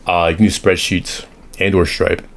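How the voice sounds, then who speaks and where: A young man with a deep, low voice, hoarse from a recent illness. He walks through automation setups in a loose, rambling way, stopping to correct himself as he sketches. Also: little pitch movement, normal speed. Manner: rambling, self-correcting, drawing on an iPad. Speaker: automation consultant. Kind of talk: casual screen-share tutorial.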